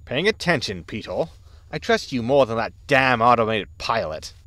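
A man playing a weary, dry-witted spaceship captain in a sci-fi comedy audio drama, deadpan in manner. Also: medium pitch, normal speed, dry recording. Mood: wry.

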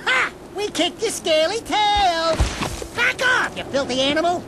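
A man's voice: extremely high pitch, very animated, measured pace, heavy background noise, full character voice. A man voicing a small, cocky, wisecracking furry animal sidekick in a cartoonish video game, brash and whiny. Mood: gloating.

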